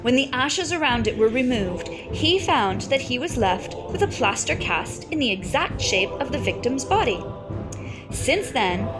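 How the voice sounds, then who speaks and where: A woman with a fairly high voice reads a children's story aloud. Her phrasing is measured and deliberate, with pauses between phrases. Prominent music plays behind her throughout. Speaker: read-aloud teacher and storyteller. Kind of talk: expressive read-aloud of a children's picture book.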